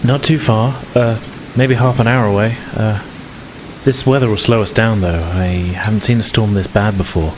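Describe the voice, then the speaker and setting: A man's voice: fairly low pitch, fairly even intonation, moderate pace, noisy background, voice pushed up, natural voice. Man playing a guarded, hesitant forest stranger. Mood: wary.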